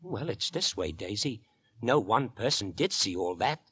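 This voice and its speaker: Earnest, husky London policeman. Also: lowish voice, very animated, a bit bright, no room sound, clean studio sound, full character voice. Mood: confiding. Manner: folksy, deliberate storytelling. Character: earnest, chatty working-class London policeman and suitor. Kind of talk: 1940s radio acting. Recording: vintage 1940s radio recording.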